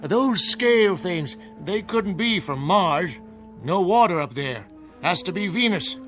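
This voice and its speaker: A man's voice: high-pitched, lively intonation, unhurried, fairly bright tone. An actor playing an eccentric old American man in a radio drama, folksy, rambling and suspicious. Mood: rambling, knowing. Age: older. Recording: music bed under the dialogue.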